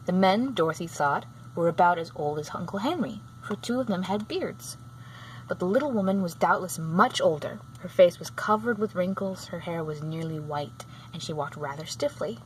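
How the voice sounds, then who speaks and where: A woman reading a children's book aloud, sometimes halting and going back over a phrase. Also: on the low side, animated, low-quality recording. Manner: halting, often rereads phrases. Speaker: storybook reader. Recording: clear background noise.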